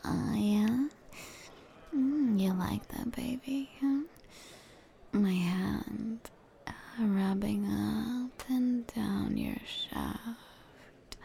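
A woman speaking in a soft, intimate, whispered roleplay, soothing and coaxing, with pet names and murmured hums. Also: on the high side, gravelly.

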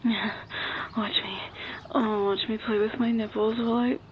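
A woman playing an amorous, coaxing adult lover in an intimate audio roleplay, breathy and often whispering. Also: a little high, level tone, close to an everyday voice. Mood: urgent, aroused. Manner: breathy, often whispering, sighing, drawn-out delivery. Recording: muffled, very noisy recording.

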